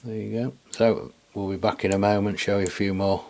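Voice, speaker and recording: A man's voice: fairly low pitch, little pitch movement, a little fast, gravelly, a little mellow. A man with an English accent giving a casual, rambling hands-on product demonstration in colloquial speech, often ending on tag questions. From England. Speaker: radio reviewer and video host.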